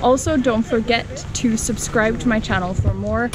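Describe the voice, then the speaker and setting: A woman's voice: on the high side, steady tone, normal speed. A woman in her thirties, a travel vlogger, talking to a handheld camera outdoors on a mountain trail in an upbeat, guiding way. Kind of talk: casual vlog narration to camera. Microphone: camera held at arm's length.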